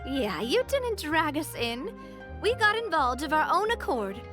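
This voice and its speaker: Excitable young reporter, really high pitched, lively, game music behind. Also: female voice, measured pace. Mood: friendly. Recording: game audio with background music.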